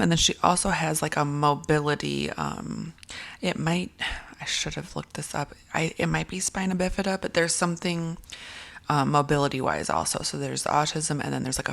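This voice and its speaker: Raspy low-voiced woman, rambling commentary. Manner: rambling, frequent fillers and restarts, clears throat often. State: raspy from whispering. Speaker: commentary video creator. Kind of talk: casual commentary.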